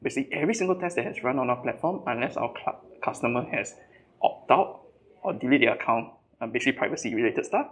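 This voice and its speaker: A man's voice: medium pitch, expressive, fast, a bit bright, slightly rough. A man from Singapore, a company's technical chief explaining things in a casual interview, with frequent restarts. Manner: restarts and "right" tags, explanatory. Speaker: guest, tech company CTO.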